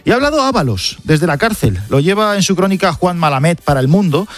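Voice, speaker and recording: A man's voice: high voice, big pitch swings, fairly quick. A man with an accent from Spain presenting a scripted press review on the radio, with conversational asides to a colleague. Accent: Spanish, from Spain.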